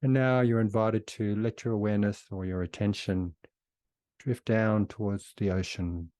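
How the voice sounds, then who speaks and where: A man leading a guided meditation in a soothing, unhurried way with long pauses between phrases. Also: lowish voice, steady tone, dark tone, no room sound.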